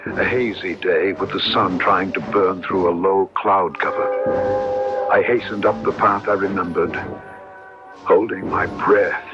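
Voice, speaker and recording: An actor playing a haunted, earnest man in a radio drama, speaking gravely in formal, literary phrases. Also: on the low side. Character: haunted, earnest man trapped in a transformed body. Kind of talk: 1970s radio drama acting, first-person narration and dialogue. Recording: dull old radio broadcast recording. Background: dramatic music.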